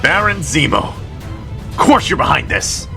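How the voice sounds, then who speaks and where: An actor playing a brave, commanding soldier hero in an audio drama, defiant and resolute. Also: male voice, on the high side, big pitch swings, a little slow, vocal fry, music in the background, exaggerated voice.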